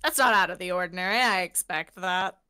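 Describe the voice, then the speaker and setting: A woman's voice: on the low side, fairly quick, sharp tone, clear, resonant tone, soft background music. A woman bantering casually and teasingly as a player on a tabletop game stream. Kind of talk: casual teasing group chat.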